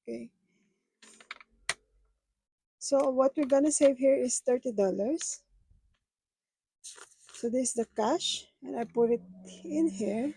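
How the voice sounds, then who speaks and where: A woman whose first language is Filipino talks to her viewers in a slow, unhurried way, often stopping and restarting her sentences. Her voice sits a little high but sounds mellow and breathy. The recording is close and dry, with almost no room sound. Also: no echo, low-quality recording. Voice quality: halting, hesitant phrasing. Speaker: budgeting vlogger. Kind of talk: casual chat with viewers.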